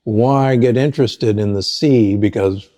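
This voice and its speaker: An older man hosting a podcast, asking relaxed, friendly questions of his guest. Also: fairly low pitch, unhurried. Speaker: podcast host. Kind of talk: conversational interview hosting.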